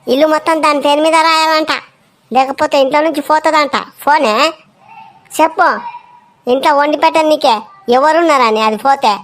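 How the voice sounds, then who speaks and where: A high-voiced, quarrelsome village relative from a homemade cartoon comedy, acted by a woman. The speech is colloquial and confrontational, full of scolding. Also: fairly even intonation, a little fast, long pauses, lightly acted. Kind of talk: amateur cartoon comedy dialogue in Telugu.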